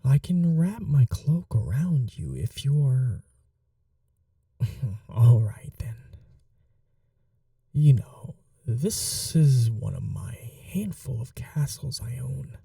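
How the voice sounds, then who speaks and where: Casting: woman to play possessive vampire mistress for ASMR roleplay, very soft dark tone, slow teasing delivery, breathy whispers. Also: very deep voice, big pitch swings, no room sound, clean studio sound, recorded from a distance, heavily acted. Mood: gentle. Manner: teasing, coaxing, unhurried. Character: cruel, doting vampire mistress toying with her captive.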